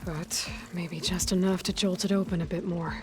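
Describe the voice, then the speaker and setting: An actress playing a determined, distrustful young hunter in a video game, speaking in a blunt, guarded, skeptical way. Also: female voice, fairly low pitch, fairly even intonation, moderate pace, music in the background, natural voice. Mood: exasperated, determined. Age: young adult. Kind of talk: dramatic video game dialogue.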